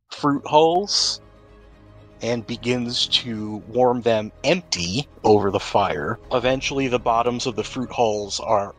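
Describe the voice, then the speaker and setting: A man acting as game master, narrating and explaining rules in a measured, explanatory way. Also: mid-range voice.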